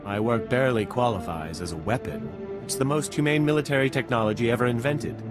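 An actor playing a proud, defensive scientist in a video game, lecturing with self-assurance. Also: male voice, mid-range voice, brisk, lightly acted. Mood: boastful. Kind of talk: video game cutscene acting. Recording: loud music behind voice.

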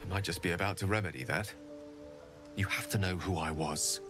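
An actor voicing a proud, rueful human wizard prodigy in a video game, speaking in eloquent, measured, theatrical phrases. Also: male voice, deep voice, music in the background, close to an everyday voice. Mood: troubled, earnest. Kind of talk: dramatic video game dialogue.

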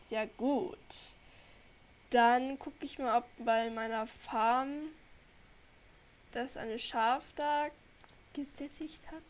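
A young woman with a cold, chatting to her viewers in a relaxed, rambling way while she plays. Her voice sits a little high, and she takes her time with each thought. She talks into a desk mic from home. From Germany. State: has a cold. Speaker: gaming channel host. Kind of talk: casual gameplay commentary. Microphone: desk microphone.

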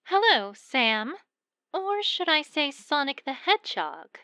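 A woman's voice: high-pitched, big pitch swings, takes it slowly, background noise, heavily acted. A woman voicing a confident female bat thief, teasing and commanding in a fan audio drama. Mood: sly.

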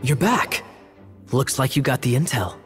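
A man voicing a sly, amused young knight captain in a video game, speaking in a wry, unhurried way. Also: medium pitch, sing-song, music in the background, lightly acted.

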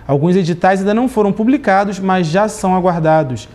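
A man in his thirties reading the news as anchor in a studio, with a steady, formal delivery. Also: high-pitched, brisk. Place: TV studio desk.

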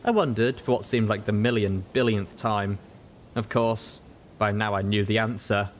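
A man's voice: lowish voice, moderate pace, slight background noise. A man reading first-person fiction aloud as a scripted audiobook narration. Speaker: audiobook reader.

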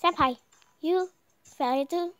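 A young woman reading a visual novel aloud, halting and stumbling over words as she narrates dramatically. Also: very high voice, a bit bright. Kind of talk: dramatic read-aloud of game text. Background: music.

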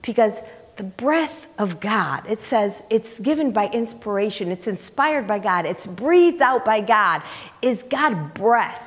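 A middle-aged woman delivers a sermon in a church, her medium-pitched voice ringing with the reverb of the hall. She talks conversationally yet with emphasis, putting questions to her listeners and repeating key phrases. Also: expressive, slow. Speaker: preacher. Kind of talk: sermon, teaching scripture to a congregation. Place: church sanctuary, indoors, on the platform. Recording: reverberant hall, picked up in large room.

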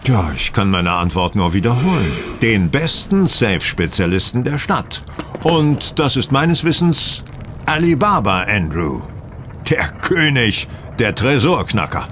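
An actor playing a self-assured, wry gentleman detective in a radio play, explaining in a measured, teasing way. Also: medium pitch, very animated, noisy background. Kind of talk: German radio play acting.

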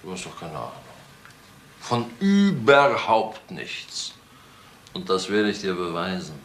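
A man's voice: sits low, wildly swooping pitch, slow, a bit bright, full character voice. An actor playing a brutal, domineering middle-aged ex-convict father in a crime radio play, taunting and coarse. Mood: menacing contempt. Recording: roomy radio play with faint noise.

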